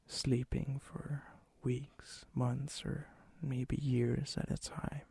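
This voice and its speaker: A man playing a lonely, remorseful vampire in a whispered ASMR roleplay, with a breathy, gravelly voice and a halting, intimate manner. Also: medium pitch, flat delivery, dark tone, no room sound, heavily acted. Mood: resigned, melancholy. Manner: slow, halting, subdued, intimate. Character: lonely, brooding, remorseful vampire, softening toward a stranger.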